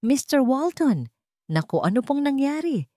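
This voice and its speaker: A woman's voice: high voice, big pitch swings, normal speed, dark tone, no echo, studio quality, voice pushed up. A woman voicing an anxious, apologetic middle-aged Filipino man, a restaurant manager in a drama. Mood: flustered, apologetic.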